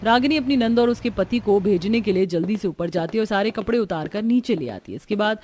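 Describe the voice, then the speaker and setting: A woman reading scripted narration for an animated family story. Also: mid-range voice, brisk, a little hiss or hum.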